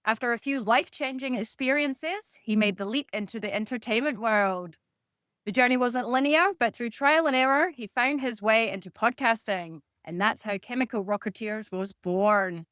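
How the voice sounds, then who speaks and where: Female podcast host, interviewer, slightly high voice, dry close recording. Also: moderate pace, a bit bright, no echo. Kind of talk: scripted introduction and interview questions.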